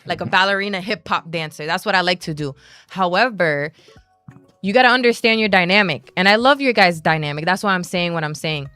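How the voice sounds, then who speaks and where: A woman's voice: on the low side, fairly quick, bright, forceful tone, clear, resonant tone, no room sound. A woman hosting a live talk show, upbeat and chatty, with faint music behind her. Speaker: host. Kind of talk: casual live show chat.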